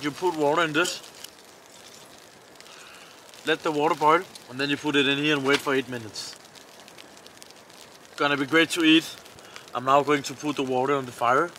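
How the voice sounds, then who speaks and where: Danish accent guy vlogging outside, bright voice, plain choppy casual talk, bit of background noise. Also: a little high, steady tone, normal speed, long pauses, rough recording. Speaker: outdoor vlogger and host. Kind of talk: casual chat narrating camping tasks to camera. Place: outdoors at a winter campsite.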